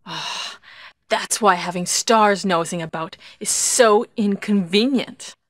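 An actress playing a brave young heroine in a video game, earnest and deliberate, with stilted delivery. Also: female voice, mid-range voice, a bit bright, no room sound, clean studio sound. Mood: accusing. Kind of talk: video game cutscene acting.

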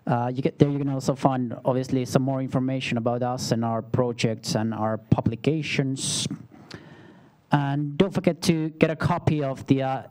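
A man with a Finnish accent addresses a seminar audience from a podium. He moderates in an informal style, with a medium-pitched voice. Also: a little mellow. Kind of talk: informal closing remarks to an audience. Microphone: podium microphone.

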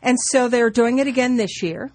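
A woman's voice: fairly high pitch, moderate pace, a little mellow, no echo. A woman co-hosting a radio show in casual, unscripted chat, with a teasing, interjecting manner. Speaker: radio co-host.

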